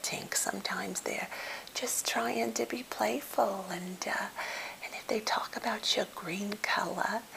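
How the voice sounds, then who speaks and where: A woman playing an anxious, well-meaning, slightly odd therapist held captive, in a breathy whisper with a halting, fussily polite manner, for an ASMR role play. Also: mid-range voice, fairly bright tone. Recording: hissy, noisy home recording.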